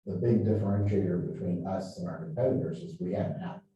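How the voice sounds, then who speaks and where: A man's voice: low voice, steady tone, fast talker, mellow. A man speaking as a company's chief operating officer in an informal promotional interview. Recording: dull, very echoey, distant playback.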